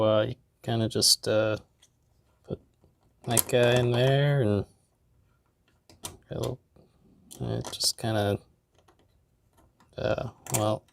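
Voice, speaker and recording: A young man in his twenties with a lapel mic in a basement, narrating a comedic DIY tutorial in a deadpan, jokey way with mumbled asides. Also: mid-range voice, creaky, a little hiss or hum. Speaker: DIY maker vlogger. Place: basement workshop, indoors.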